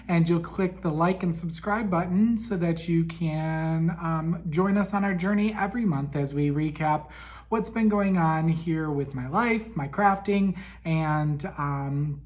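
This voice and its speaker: Man, podcast host, raspy, congested head cold, short of breath, chatty, lots of ums. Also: a little high. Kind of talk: casual monthly recap chat.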